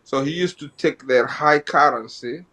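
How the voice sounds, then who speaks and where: A man from Somalia, a guest in an interview telling his life story, often halting and repeating phrases as he goes. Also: on the high side, bright, forceful tone.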